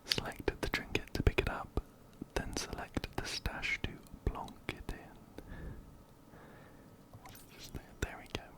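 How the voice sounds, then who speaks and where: A man whispering softly right up close to the microphone in an ASMR game playthrough, unhurried and repeating phrases as he reads dialogue aloud. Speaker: video game streamer and ASMR creator. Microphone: close-up binaural microphone, ear to ear.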